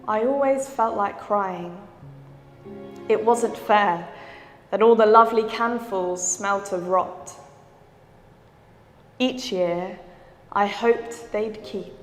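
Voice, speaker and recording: A woman recites poetry at an extremely slow, deliberate pace. Each phrase is set apart and hangs in a reverberant, echoing hall. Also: medium pitch. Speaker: poetry reader. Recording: echoey hall with long reverb.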